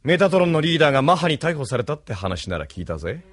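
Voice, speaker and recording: An actor playing a brash, swaggering young street boss and brawler in a Japanese anime audio drama, rough-spoken and cocky. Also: male voice, on the high side, big pitch swings, fast talker, music in the background.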